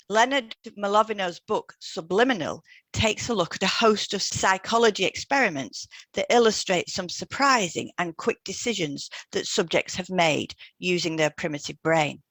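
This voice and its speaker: A woman with a slightly low voice reads a scripted podcast discussion. Her delivery is measured and explanatory, like someone teaching listeners step by step. The sound is dry, with hardly any room in it. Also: lively intonation, a bit bright, no room sound. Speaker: podcast co-host, hypnotherapist.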